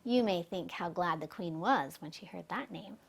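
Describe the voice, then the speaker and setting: A woman's voice: lowish voice, moderate pace, gravelly. A woman storyteller reading a fairy tale expressively for children.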